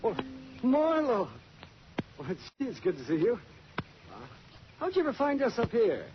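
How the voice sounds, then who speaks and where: A man's voice: extremely high pitch, big pitch swings, measured pace, heavily acted. A man acting a nervous, hesitant fellow eager to please, in a radio detective drama. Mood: eager, flustered. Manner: hesitant, stammering, folksy. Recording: dull, quite noisy old radio recording.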